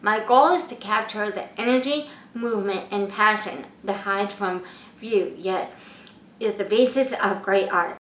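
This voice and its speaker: A woman in her twenties, an artist, narrating a scripted introduction to her personal video in a measured, read-aloud way. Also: mid-range voice, fairly bright tone, slight background noise. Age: 20s. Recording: echoey room.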